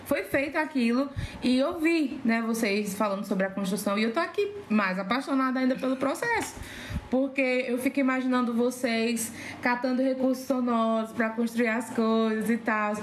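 Higher-voiced woman, enthusiastic. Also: normal speed. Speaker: podcast conversation partner. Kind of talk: casual podcast conversation. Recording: faint background noise.

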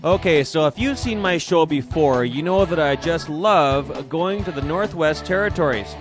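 A man's voice: a little high, normal speed. A man hosting a fishing show, narrating in a jokey, hyped voice-over. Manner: jokey, hyped, keeps calling things rugged. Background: guitar music.

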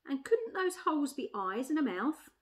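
A woman voicing a genteel, thoughtful female elephant in a storybook, mild and deliberate in manner. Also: high voice, very animated, a bit bright, pitched above the performer's own voice. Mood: musing. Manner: mild, deliberate, primly self-satisfied. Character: genteel, thoughtful she-elephant, proud of her trunk.

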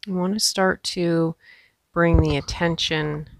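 Female yoga instructor for guided meditation; gentle, pausing delivery, noticeable vocal fry, very dry sound. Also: fairly low pitch, steady tone, dry recording. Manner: gentle, unhurried, with pauses.

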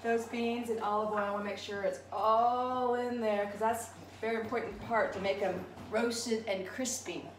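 A woman's voice: on the high side, fairly even intonation, moderate pace. A woman in her forties hosting a home cooking demonstration, cheerful and instructive, talking with a child helper. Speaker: dietitian hosting a kids' cooking segment. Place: large home kitchen. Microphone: camera across the room. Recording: echoey kitchen, distant mic, background noise.

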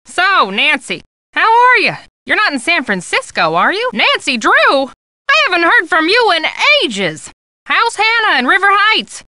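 A chatty woman photojournalist from an adventure game, gushes and rambles in a high, very animated voice. She is scatterbrained and easily carried away, and the sound is dry and close. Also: unhurried, no echo, exaggerated voice. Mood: bright, friendly. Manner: gabby, gushing, rambling. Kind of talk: video game character dialogue.

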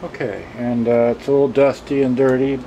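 A man's voice: mid-range voice, level tone, brisk, dark tone, dull audio, a little hiss or hum. A man muttering in short fragments through a casual, off-the-cuff demonstration, recorded on a camcorder's own microphone. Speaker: vlogger showing vintage electronics. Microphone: handheld camcorder's built-in microphone.

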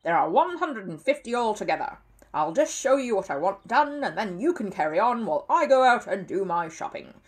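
A woman voicing a gruff, bossy older male neighbour who barks his orders loudly. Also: medium pitch, sing-song, brisk, close to an everyday voice. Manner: loud, barking, stern.

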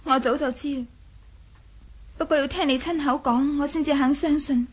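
A very high-pitched young princess acted by a woman in a radio play. She is proud and imperious, yet coquettish and teasing, with a wistful edge to her probing manner. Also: steady tone, normal speed, natural voice. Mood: challenging. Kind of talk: Cantonese 1980s radio drama acting.

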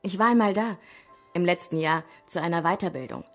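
A woman's voice: lowish voice, expressive, a little fast, soft background music, natural voice. An actress as polite young travel agent woman. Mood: helpful, earnest. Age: young adult. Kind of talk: radio drama dialogue.

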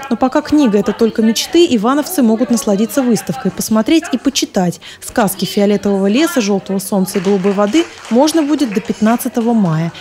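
A woman's voice: a little high, expressive, fast talker, hardly any pauses, mellow. A woman reporter reading scripted narration for a television news report.

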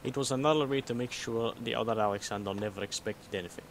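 A man playing a secretive, guarded synth copy of a Soviet spy in a game mod, explaining in a measured way. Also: mid-range voice, natural voice. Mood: guarded, explanatory. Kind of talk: scripted game mod dialogue. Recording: noticeable background noise.

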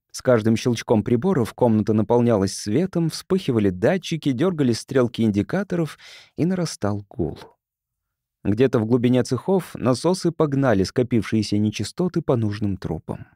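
A man's voice: medium pitch, lively intonation, normal speed, dark tone, no echo, studio quality. A man reading science fiction as a scripted audiobook narrator.

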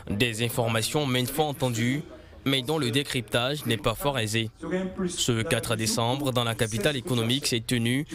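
A man with an Ivorian French accent, reading a scripted TV news report voice-over in a measured way. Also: mid-range voice, fairly even intonation, a crowd in the background.

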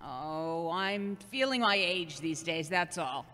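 A woman playing a loving, gently scolding seventy-year-old mother in an audio drama, earnest and halting. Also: fairly low pitch, animated, exaggerated voice. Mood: wistful. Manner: earnest, sermonizing, halting delivery. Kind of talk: amateur audio drama acting. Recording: long hollow reverb.

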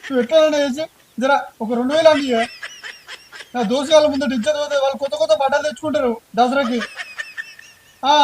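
A man's voice: very high-pitched, background noise. A young man with a Telangana Telugu accent playing a broke, dramatic village lad in a comedy skit.

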